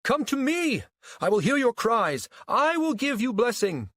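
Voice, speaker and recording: A self-proclaimed messiah from an audiobook, pitched far above ordinary talk. He proclaims grandly and loudly, swinging widely in tone, in a dry, close recording. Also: big pitch swings, a little slow, no echo, heavily acted. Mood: grandiose, exultant. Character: bombastic self-proclaimed messiah, a shouting man.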